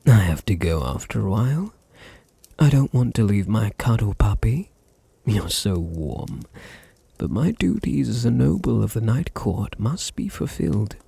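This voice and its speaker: A low, gravelly British vampire lord, acted out by a man, murmurs with a soft, mellow darkness. His husky delivery swings expressively between condescending tenderness and seductive command, often sinking toward a whisper in intimate ASMR roleplay style. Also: sing-song, no echo, full character voice. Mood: tender, possessive. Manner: slow, soothing, teasing, condescendingly tender. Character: dominant, possessive, seductive male vampire noble doting on his servant.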